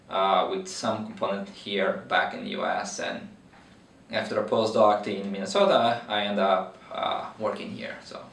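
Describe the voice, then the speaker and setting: A man's voice: lowish voice, recorded from a distance. A man in his thirties from Brazil with a Brazilian accent, explaining his veterinary research in an interview with many fillers and restarts. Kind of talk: interview answers. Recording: roomy echo, mic from afar.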